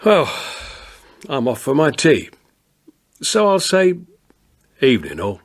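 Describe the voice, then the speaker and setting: An older man playing a firm but kindly beat policeman in a radio drama, plain-spoken and gently authoritative. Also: mid-range voice, wildly swooping pitch, slow, heavily acted. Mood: wistful storytelling. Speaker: police constable and narrator. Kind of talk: naturalistic radio drama acting and storytelling.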